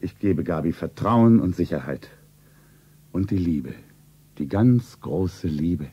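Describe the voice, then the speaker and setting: An actor playing an ailing, tender, secretive rich lover in a romance radio play, husky and breathy, solemn and weary. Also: male voice, sits low, expressive, slow pace, mellow, exaggerated voice. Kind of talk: 1970s German radio play, pulp romance. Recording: dull old radio play tape.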